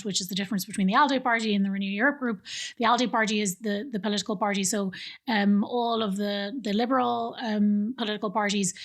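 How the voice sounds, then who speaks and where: Irish woman, middle-aged, podcast guest; explanatory, hesitant phrasing, close stand mic in a homey room. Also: medium pitch. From Ireland. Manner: explanatory, many fillers and restarts. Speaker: guest, think tank director. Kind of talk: conversational interview. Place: living room studio with plants and books. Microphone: studio mic on stand.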